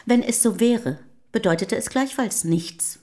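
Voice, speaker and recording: An actress in a German radio play playing a proud, self-assured middle-aged wife of a poet, speaking in formal, measured period diction. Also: female voice, a little high, a little mellow, close to an everyday voice. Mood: dismissive, assured.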